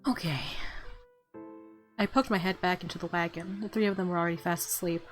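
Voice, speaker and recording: A woman's voice: on the low side, steady tone, fairly quick, faint music behind. A woman reading a visual novel aloud in a let's play, dropping in playful, gushing asides.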